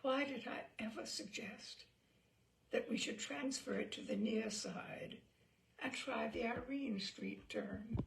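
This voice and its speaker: A woman reading a story aloud in a dramatic, theatrical way, with deliberate pauses and emphatic phrasing. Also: fairly high pitch, creaky, slight background noise, rough recording. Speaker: reader of a story.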